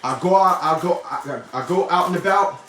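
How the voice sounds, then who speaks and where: Man in his 50s, blunt profane monologue, higher voice, echoey room, a bit off-mic. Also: moderate pace, fairly bright tone, distant mic, a little hiss or hum. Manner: blunt, profane and boastful, with repeated phrases. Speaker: vlogger. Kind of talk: casual monologue to camera.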